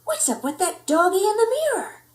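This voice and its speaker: A woman voicing an excitable little boy kitten who thinks he's a chihuahua, bouncy and exclamatory with mock-Spanish flourishes. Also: extremely high pitch, brisk, pitched above the performer's own voice. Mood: excited. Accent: put-on Spanish. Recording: roomy indoor echo.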